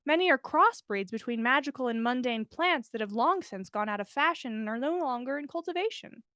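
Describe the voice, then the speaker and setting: Storybook male herbologist read by a woman: earnest, wordy, courteous, high-pitched, close dry sound. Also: animated, brisk, bright, forceful tone, no echo, full character voice. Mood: sheepish, keen. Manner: formal, wordy, polite. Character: earnest, bookish, absent-minded adult male herbologist.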